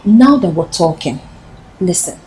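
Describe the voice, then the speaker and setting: Casting: scheming friend, slow, swooping delivery, film drama. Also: female voice, lowish voice, animated, dark tone, a little hiss or hum, low-quality recording. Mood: conspiratorial. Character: scheming woman egging on her friend.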